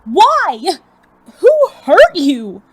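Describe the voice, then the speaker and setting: A very high, swooping young voice for a plucky student hero in an audio drama, played by a woman. The hero is flustered, blunt and incredulous, blurting out indignant lines. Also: huge pitch swings, extremely slow, full character voice. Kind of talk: scripted audio drama acting.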